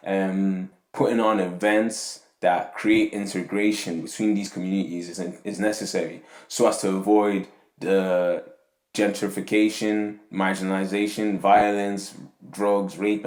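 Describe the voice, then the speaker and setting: Deep-voiced young man rambling in an echoey room. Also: a little mellow. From Nigeria. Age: young adult. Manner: rambling, tags lines with "yeah?" and "you know what I'm saying". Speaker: podcast host. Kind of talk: casual monologue on faith and community.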